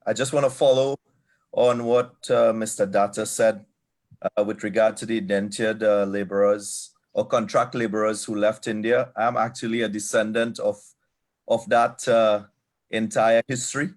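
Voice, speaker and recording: A man from Trinidad and Tobago with a Trinidadian accent, giving earnest remarks as a guest on a video call, often pausing with fillers. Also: fairly low pitch, flat delivery. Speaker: guest speaker. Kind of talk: online event remarks.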